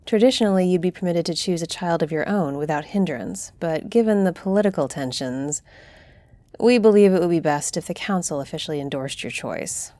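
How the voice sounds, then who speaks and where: A woman acts out a solemn griffin-shifter diplomat in a fantasy audio drama. She speaks formally and deliberately in a fairly low, dark-toned voice, recorded dry and with real authority. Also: lively intonation, no echo, close to an everyday voice. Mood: measured, approving. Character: stately female griffin-shifter council leader, solemn, fair-minded diplomat. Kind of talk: fantasy audio roleplay acting.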